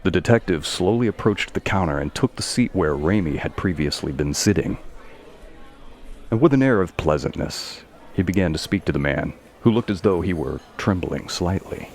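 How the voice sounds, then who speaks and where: A man reading scripted narration for a dark mystery story. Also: low voice, lively intonation, normal speed. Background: faint music.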